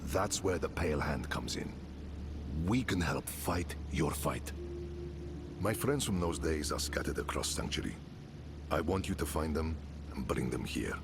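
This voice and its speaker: A loyal middle-aged father in a fantasy game, wry but carrying grief. He speaks slowly and very low, in a measured, earnest way. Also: animated, creaky, background noise, full character voice. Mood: solemn. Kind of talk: video game cutscene acting.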